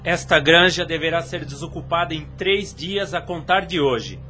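Haughty young noble, bright forceful voice, solemn formal delivery, radio play, background music. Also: male voice, on the high side, normal speed. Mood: solemn triumph. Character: arrogant, triumphant young nobleman delivering a cruel decree. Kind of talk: radio drama acting. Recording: music under the voice.

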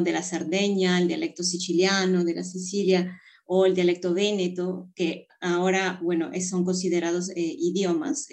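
Female panel guest, slightly low voice, explanatory and conversational, often seeking confirmation with small tag questions. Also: darkish tone.

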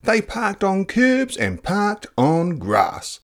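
A narrator reading a children's book aloud in a dramatic, playful, expressive style. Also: mid-range voice, takes it slowly. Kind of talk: expressive storybook read-aloud.

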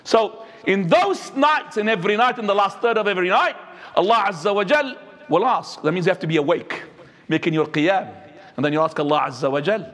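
A man, preaching a sermon as an imam, swinging between high and low. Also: lively intonation, a little fast, fairly bright tone. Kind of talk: Friday sermon. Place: mosque. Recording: echoey hall.

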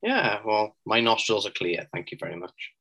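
Low, bright-voiced podcast man. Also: sing-song. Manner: measured, thoughtful, analytical. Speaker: podcast co-host. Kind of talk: casual chat.

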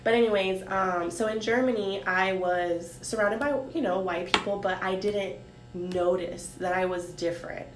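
A woman from Haiti telling her life story in a casual talk, thoughtful and pausing with many ums. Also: fairly even intonation. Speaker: guest sharing her story. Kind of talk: casual conversation. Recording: roomy with faint rumble.

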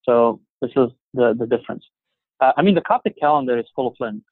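Egyptian guy on a phone line, halting, lots of ums, dry and tinny. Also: medium pitch, lively intonation, no room sound. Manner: halting, many fillers and restarts. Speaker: interview guest. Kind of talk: casual conversation.

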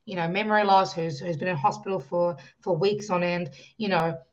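A woman's voice: on the low side, fairly even intonation, brisk, dull audio. A woman with a New Zealand accent telling her own story as an interview guest, explaining things articulately. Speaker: podcast guest, musician and youth coach. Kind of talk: conversational personal storytelling in an interview.

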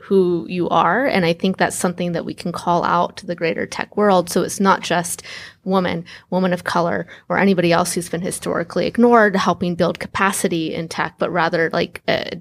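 A woman on a panel picks her words slowly, with many pauses and fillers. Her voice is low-pitched and gravelly, and the sound is dry. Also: steady tone, no echo. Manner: thoughtful, hesitant, many fillers. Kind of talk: panel discussion.

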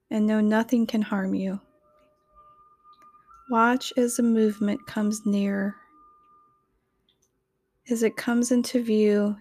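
A woman reading a scripted guided meditation in a soothing, gentle, measured manner. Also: medium pitch, no room sound.

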